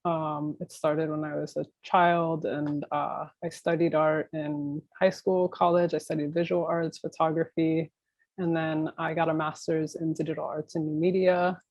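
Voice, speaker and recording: Casual American woman with a lowish voice. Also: flat delivery, measured pace, darkish tone, no echo. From Miami, United States. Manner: informal, rambling, thinks aloud. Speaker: interview guest, new media artist. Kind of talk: casual interview conversation.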